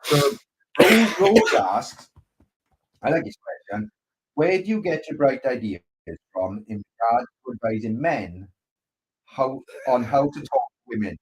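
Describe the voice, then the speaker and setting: A man's voice: mid-range voice, big pitch swings, measured pace, husky, rough recording. A man from Wales co-hosting a casual chat show, with a Welsh accent, joking and self-mocking with stumbling restarts. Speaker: co-host.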